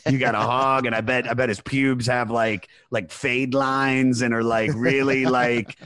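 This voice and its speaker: A man's voice: medium pitch, little pitch movement, unhurried, fairly bright tone, clean tone, dry recording, clean studio sound. A man hosting a podcast in casual chat, reading trivia and making crude jokes, saying "dude" a lot. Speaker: podcast host.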